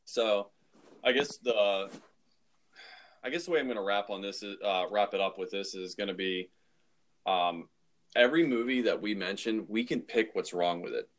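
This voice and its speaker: Lower-pitched man chatting on a podcast. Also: normal speed, long pauses. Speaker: podcast co-host. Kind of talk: casual podcast discussion.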